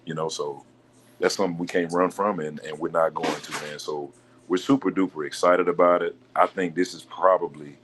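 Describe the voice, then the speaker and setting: A man with a deep voice, a basketball coach being interviewed on a local sports talk show, answering in a measured, polite way. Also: steady tone, background noise. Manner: measured, polite, says "yes, sir". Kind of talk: casual radio show interview.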